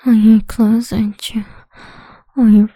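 A woman playing a domineering, condescending lover in intimate adult audio roleplay, speaking in a breathy, whispery voice with a commanding manner. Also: fairly high pitch, level tone, mellow, no echo. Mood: commanding, scornful. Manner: slow, commanding, alternately cooing and scornful.

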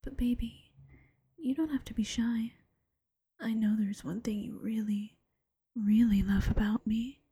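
A woman voicing an affectionate, teasing bunny-girl girlfriend in a slow, coaxing ASMR whisper. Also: fairly high pitch, fairly even intonation, mellow, breathy edge, dry recording, full character voice. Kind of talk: ASMR roleplay.

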